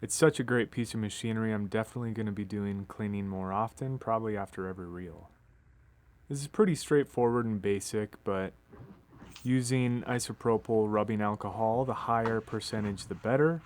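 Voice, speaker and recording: Low-voiced man, casual studio how-to. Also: brisk, darkish tone, no echo. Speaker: recording engineer and studio host. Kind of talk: casual how-to narration over a demonstration. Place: inside a recording studio. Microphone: phone video microphone.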